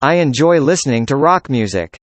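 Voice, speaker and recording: A man reading a student's part in a scripted classroom conversation, with an even, measured delivery. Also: medium pitch, no echo. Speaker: student in a dialogue.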